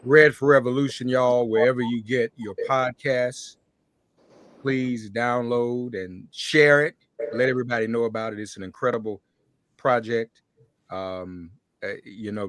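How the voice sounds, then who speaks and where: A man's voice: medium pitch, fairly bright tone. An American man hosting a conversational interview. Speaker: show host.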